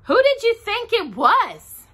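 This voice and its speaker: A woman voicing a naive, greedy, good-natured male bear in a storybook reading, in a high singsong voice. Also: wildly swooping pitch, slow, a bit bright, heavily acted. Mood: cheerful.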